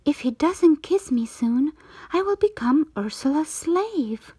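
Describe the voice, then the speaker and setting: A woman's voice: high-pitched, expressive, slow pace, warm tone, no room sound, pitched above the performer's own voice. A woman voicing an anxious young mermaid girl in a children's storybook, speaking with urgent emphasis. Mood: worried.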